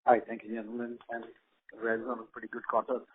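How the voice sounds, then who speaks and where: A man calling in as an analyst, asking polite questions in a husky voice with hesitant, conversational phrasing. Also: mid-range voice, monotone, fast, a little hiss or hum. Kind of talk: polite question on an earnings call. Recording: rough, narrow phone line.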